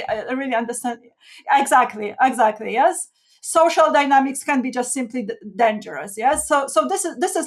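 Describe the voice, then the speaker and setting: A woman from Poland, a social scientist, answering interview questions in a halting way with many restarts, fillers and frequent laughs. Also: high-pitched. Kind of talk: casual interview conversation.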